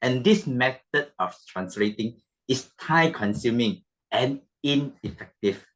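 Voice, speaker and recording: Slow, halting man at a panel. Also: medium pitch, expressive, a bit bright. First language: not English. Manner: slow, halting, with repeated phrases. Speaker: panel speaker. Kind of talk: prepared panel talk. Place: club meeting room.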